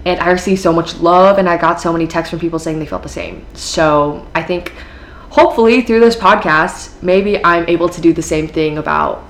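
Chatty low-voiced American teen woman podcaster. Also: normal speed. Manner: chatty, filler-heavy, upbeat with frequent laughs. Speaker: podcast host. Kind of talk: casual solo podcast talk.

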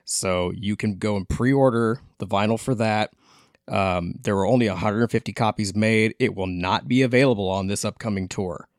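A man's voice: fairly low pitch, normal speed, no room sound, clean studio sound. A man co-hosting a podcast, casually passing on event and release announcements. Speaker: podcast co-host and performer.

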